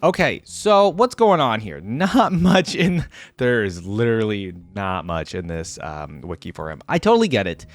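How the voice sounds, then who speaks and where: Lively, chatty young man reacting. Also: fairly low pitch, normal speed, dry recording, faint music behind. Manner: chatty and musing, breaks off reading to react, chuckles. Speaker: reaction video host. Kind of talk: casual reaction commentary, reading a wiki aloud.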